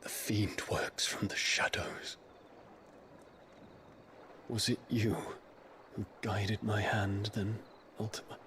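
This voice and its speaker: Brooding game hero, deep slow grave delivery, guilt-ridden, bit of noise behind. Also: male voice, level tone. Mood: grim, remorseful. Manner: solemn, measured, deliberate. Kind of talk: dramatic video game voice acting.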